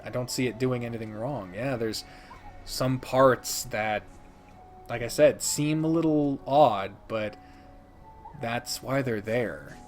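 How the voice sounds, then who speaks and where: A man giving a casual, unscripted video review as host, speaking emphatically and theatrically with dramatic pauses. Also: medium pitch, faint music behind. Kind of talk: casual scripted-free game review commentary.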